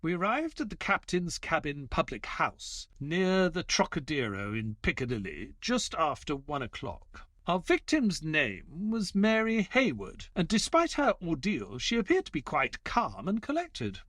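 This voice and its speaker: A man plays a gentlemanly doctor recounting a case in an audio drama. His voice sits fairly high and swoops widely, yet his phrasing stays formal and measured. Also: huge pitch swings, dry recording, clean studio sound, full character voice. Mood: dramatic narration. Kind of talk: dramatic scripted storytelling in an audio play.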